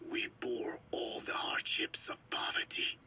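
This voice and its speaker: An actor playing a frail, regretful elderly Navajo scientist in a video game, speaking slowly and solemnly in a breathy, whispery rasp. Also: male voice, sharp tone. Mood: sorrowful, solemn. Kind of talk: dramatic video game voice acting.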